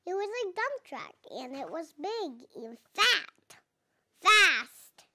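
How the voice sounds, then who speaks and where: A four-year-old girl reading a picture book aloud, haltingly, sounding out and repeating words. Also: extremely high pitch, very animated, bright, forceful tone. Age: young child, four and a half. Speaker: child reader.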